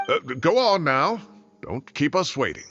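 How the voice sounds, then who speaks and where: A man playing an indignant, theatrical elderly former professor in a video game, expressive and blustery. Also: on the high side, unhurried, lo-fi sound, soft background music. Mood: brisk.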